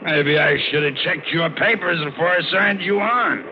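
An actor playing a surly, hard-drinking, bullying sea captain in an old radio drama, with a gruff, curt, sarcastic way of talking. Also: male voice, on the high side, normal speed, lightly acted. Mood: irritable, sarcastic. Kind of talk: 1940s-50s radio drama acting. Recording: muffled, noisy old radio recording.

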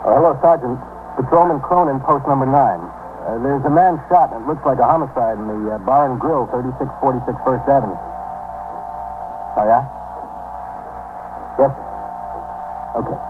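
A man's voice: mid-range voice, moderate pace, long pauses, music in the background, natural voice. An actor playing an unruffled police patrolman in a 1950s radio drama, with clipped, probing, matter-of-fact speech. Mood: businesslike. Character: businesslike, unruffled male police patrolman questioning witnesses. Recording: tinny, hissy 1950s radio broadcast.

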